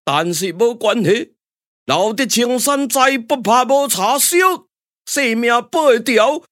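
A man's voice: very high voice, lively intonation, takes it slowly, a bit bright, no echo, studio quality, heavily acted. A man with a Taiwanese accent voicing a blustering, cowardly, stammering foreign commander in a theatrical puppet radio drama. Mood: boastful. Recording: music under the voice.

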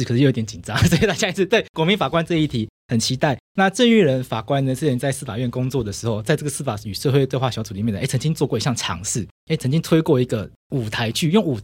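A man's voice: mid-range voice, slightly rough, dry recording. A man with a Taiwanese Mandarin accent hosting a conversational podcast interview, explaining things in a chatty, wordy way. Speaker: podcast host.

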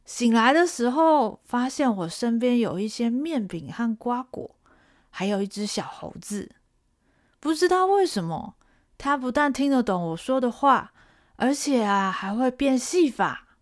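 A woman voicing a poor, stubborn orphan peasant boy who talks in a drawn-out, pleading way. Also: a little high, expressive. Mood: wistful. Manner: defiant, pleading, drawn-out delivery.

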